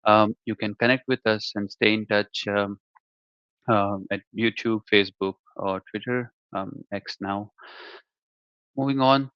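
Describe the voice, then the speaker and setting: A man from India opens a virtual developer meetup in a low, even voice that stays flat in pitch. His speech is clean and smooth, recorded dry and a little muffled, as on a video call. He pauses often on fillers. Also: dry recording. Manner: hesitant, many fillers. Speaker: developer group leader and host. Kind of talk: webinar introduction.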